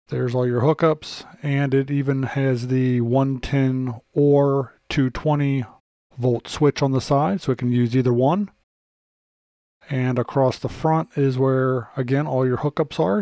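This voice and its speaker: A man's voice: medium pitch, gravelly, a little mellow, no echo, studio quality. An American man giving a casual, matter-of-fact hands-on product demonstration. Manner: matter-of-fact, unscripted narration. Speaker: product reviewer and presenter.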